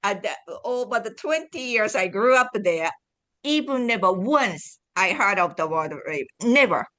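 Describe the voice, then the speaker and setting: A woman from Japan speaking English with a Japanese accent, a guest telling her story in a halting way with many restarts. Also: medium pitch, lively intonation, fairly bright tone. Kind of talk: casual interview talk.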